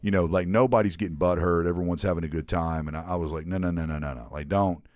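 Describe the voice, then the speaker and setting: Low-voiced joking podcast host, a man. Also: steady tone, quick pace, darkish tone, no echo, clean studio sound. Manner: joking, rambling storyteller. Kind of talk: casual podcast chat.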